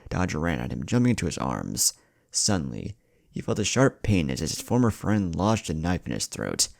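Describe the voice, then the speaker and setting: A man's voice: low-pitched, vocal fry, darkish tone, dry recording, clean studio sound. A man narrating a scripted fan fiction story.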